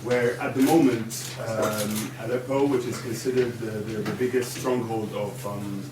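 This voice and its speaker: A man's voice: lowish voice, fairly even intonation. Guy from syria moderating a panel, stop-start talking, far off mic, noisy room. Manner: halting, with many fillers and restarts. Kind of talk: chairing a conference panel. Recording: echoey room from far off, background noise.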